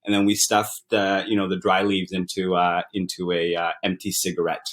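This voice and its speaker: A man in his forties from Uganda, a startup founder and author, talking in a relaxed, reflective way with many fillers and repeated words. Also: deep voice, normal speed. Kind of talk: relaxed interview conversation.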